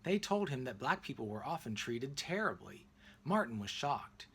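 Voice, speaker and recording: Lively male storybook narrator. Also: medium pitch, normal speed, breathy edge. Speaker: read-aloud narrator. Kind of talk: expressive children's picture book read-aloud.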